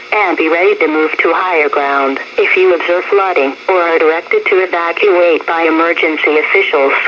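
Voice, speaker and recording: A synthetic female voice with an American accent reads scripted emergency weather warnings in a formal, measured way as a radio announcer. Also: fairly low pitch. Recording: dull, noisy weather radio broadcast. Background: radio noise.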